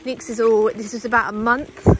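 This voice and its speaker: A woman vlogging casually while riding an electric bike along a forest track, chatting to the camera and coaxing her dogs along in a high sing-song voice. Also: flat delivery, normal speed, slight background noise.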